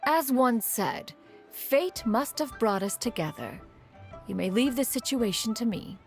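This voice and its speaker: A gravelly, formal immortal sage. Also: female voice, on the high side, expressive. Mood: composed. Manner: formal, measured and self-assured. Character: composed, archaic-speaking immortal adept woman. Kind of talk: English video game dub. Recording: game audio with music underneath.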